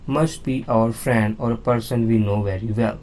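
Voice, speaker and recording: A man's voice: medium pitch, steady tone, moderate pace, darkish tone, slight background noise. A man teaching a beginner language lesson, explaining slides patiently and repeating words with frequent "now" and "okay?".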